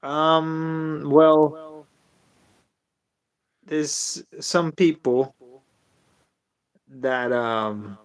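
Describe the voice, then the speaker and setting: A man's voice: on the high side, steady tone, drawn-out words, long pauses, clear, resonant tone, dry recording. A man talking casually as a travel vlogger guest on a video call, hesitant and full of ums.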